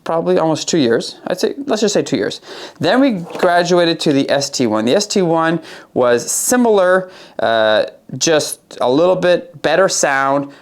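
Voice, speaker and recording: Male presenter from Canada, mid-pitched, casual and conversational, stressing points by repetition in a hands-on gear review. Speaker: arborist crew boss and video presenter. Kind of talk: casual product review talk to camera.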